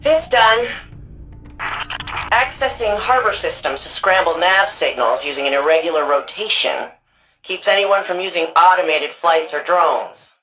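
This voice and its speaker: A woman's voice: on the low side, animated, a little slow, over music, rough recording. An actress playing a weary, wry young woman hacker in a sci-fi game, talking casually and rambling a little. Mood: dry, focused. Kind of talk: video game dialogue.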